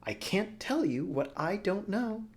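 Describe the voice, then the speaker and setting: Storybook city manager, male: campy, snide and teasing, pitched high with big swoops man acting it out. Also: big pitch swings, unhurried, heavily acted. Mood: snide, exasperated. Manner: campy, exclamatory, mocking.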